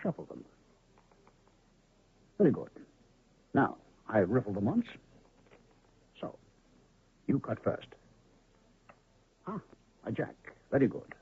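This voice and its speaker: A man's voice: mid-range voice, big pitch swings, slow pace, long pauses, husky, full character voice. An actor playing a mysterious male medicine man and illusionist in an old radio drama, speaking grandly and theatrically. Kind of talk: 1970s radio drama acting. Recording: lo-fi phone-band old radio recording.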